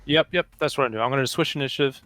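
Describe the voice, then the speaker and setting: A man's voice: medium pitch, racing, bright, forceful tone. A man chatting casually as a player in a tabletop role-playing game. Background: music.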